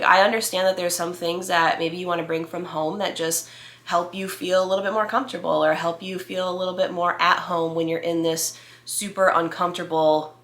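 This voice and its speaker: A congested woman in her thirties talks to her viewers with a stuffy, nasal sound and audible heavy breathing. Her voice is bright and sits a little low, and it rings in an echoey room. She rambles along casually, filling gaps with "like" and "you know" as she reassures them. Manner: chatty, reassuring, many fillers like "like" and "you know". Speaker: vlogger. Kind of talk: casual talk to camera.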